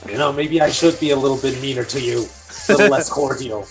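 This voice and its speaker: A man's voice: medium pitch, fast talker, soft background music, rough recording. A man chatting casually as a guest on a game stream, with a self-deprecating way of talking. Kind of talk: casual chat. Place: voice chat, game music behind.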